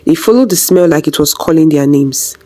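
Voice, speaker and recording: A woman's voice: low voice, lively intonation, a little fast, mellow. A woman narrating a scripted folktale as a storyteller.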